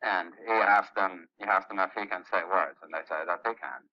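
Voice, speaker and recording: Crude mocking goblin raider, told by a man, lowish voice, broken grammar, stumbling repeats, dull lo-fi sound. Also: normal speed, pushed and bright. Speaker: roleplayer telling a story in character. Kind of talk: in-character storytelling. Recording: dull lo-fi recording.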